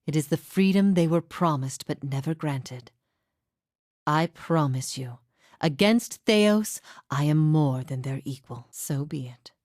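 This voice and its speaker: A woman playing a grave, weary yet steadfast imprisoned heretic prophet in a fantasy game, speaking formally and with measured solemnity. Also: sits low, no echo, studio quality. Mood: solemn, resolute. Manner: solemn, measured, formal, often dropping to breathy softness. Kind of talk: dramatic fantasy game dialogue.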